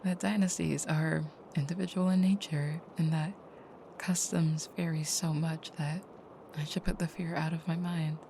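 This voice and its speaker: Woman acting a hushed, low-key vampire girlfriend. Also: steady tone, normal speed, a little hiss or hum. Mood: anxious, self-doubting. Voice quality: soft, breathy, often near a whisper. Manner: gentle, articulate, slightly formal phrasing. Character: tender, anxious vampire girlfriend, newly made leader, soft-spoken. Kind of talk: intimate ASMR-style audio roleplay.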